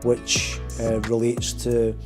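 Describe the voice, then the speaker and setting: A man speaks slowly in an interview, hesitating and pausing mid-sentence. His pitch stays level, and faint guitar music plays underneath. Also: completely flat delivery. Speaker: project member discussing a stage play. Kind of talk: documentary interview. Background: soft guitar music.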